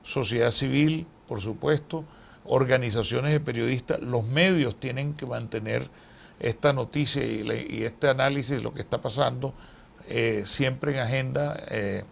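An older man with a Colombian accent, a journalist answering interview questions in a TV studio, deliberate and full of hesitant fillers. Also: medium pitch, creaky. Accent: Colombian Spanish. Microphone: lapel.

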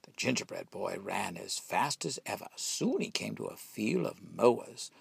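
Gravelly, animated man reading a storybook. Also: fairly low pitch, normal speed, no echo. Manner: expressive, dramatic storytelling. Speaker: storybook reader. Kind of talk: scripted storybook narration.

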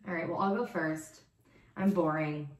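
Giggly young woman, lowish voice. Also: fairly even intonation, normal speed. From New Jersey. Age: young adult. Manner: giggly, upbeat. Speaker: plant vlogger. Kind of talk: casual chat. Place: bedroom, seated on bed. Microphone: far camera. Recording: roomy echo.

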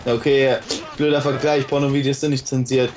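A man's voice: medium pitch, little pitch movement, moderate pace, darkish tone. A young man chatting casually with friends over an online game, joking and teasing and laughing often. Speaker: gamer. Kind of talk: casual gaming chat with friends.

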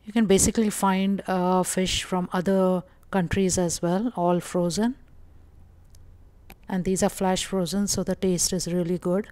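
A woman from India narrating a casual shopping walkthrough vlog, calm and explanatory with frequent pauses and fillers. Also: fairly low pitch. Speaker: vlogger.

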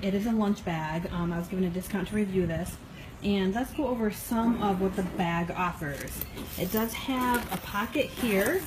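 Matter-of-fact woman reviewing a product at home. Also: medium pitch. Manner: plain, matter-of-fact, frequent "um" fillers. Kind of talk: casual product review, filmed on a phone. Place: home kitchen, indoors. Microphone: phone camera held by the speaker, off-screen. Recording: phone video with audible background noise.

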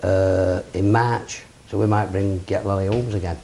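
Middle-aged man, boxing promoter; low, mellow, plain-spoken, lapel mic in an office. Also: steady tone, a little slow, gravelly, a little hiss or hum. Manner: plain-spoken, rambling lists. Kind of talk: seated interview. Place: office with framed memorabilia.

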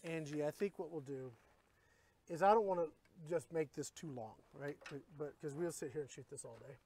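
A middle-aged man hosting, explaining casually in relaxed talk at an outdoor shooting range, recorded on a camera mic outdoors. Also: fairly high pitch, normal speed. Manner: easygoing, explanatory. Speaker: host.

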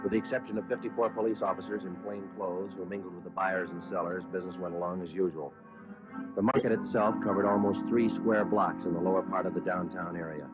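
Man acting as deadpan American police sergeant, low voice, quick clipped delivery, terse, vintage radio crime drama, muffled old recording. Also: natural voice. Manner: deadpan, clipped, matter-of-fact. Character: terse, businesslike male police sergeant narrating his manhunt. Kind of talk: 1950s radio crime drama narration and dialogue.

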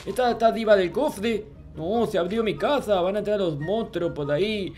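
Male actor as babyish noob game character, high voice, stammering, grumpy, easily flustered, music underneath. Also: normal speed, darkish tone, lightly acted. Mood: puzzled, complaining. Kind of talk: improvised Minecraft roleplay narration.

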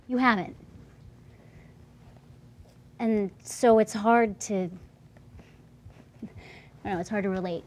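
A woman at a gaming table speaks in character in a hesitant, guarded way. Her voice is mid-pitched, with faint room ambience behind her. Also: a little hiss or hum. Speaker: player.

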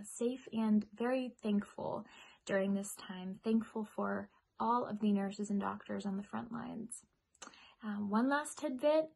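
A young American woman speaking in a friendly storybook-reading style, with a husky voice. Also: mid-range voice, rough recording. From United States. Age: young adult. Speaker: singer-songwriter reading a children's story.

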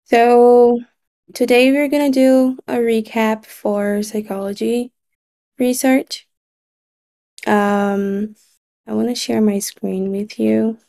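Slow, mellow Brazilian-accented woman. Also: a little high, flat delivery, vocal fry. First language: Portuguese. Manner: measured, slightly halting with frequent pauses. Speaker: meeting facilitator. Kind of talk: online meeting recap. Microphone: video call.

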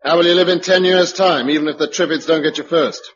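An actor playing a blunt, sardonic, down-to-earth survivor in a British radio drama, with a British accent and colloquial way of talking. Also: male voice, on the high side, fairly even intonation, quick pace, close to an everyday voice. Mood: exasperated, urgent. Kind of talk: mid-century British radio drama acting. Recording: dull, noisy old radio recording.

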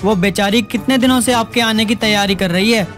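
An emphatic, earnest young husband and protective brother from a cartoon, voiced by an actor. Soft music runs under the speech. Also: medium pitch, fairly quick, close to an everyday voice. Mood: firm.

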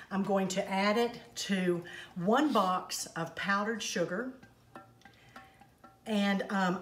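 An older woman hosting a home cooking segment, talking viewers through a cake recipe step by step in a homey, instructive way, recorded in her kitchen. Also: mid-range voice. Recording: echoey kitchen with faint noise. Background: clinking pots, bowls and utensils.

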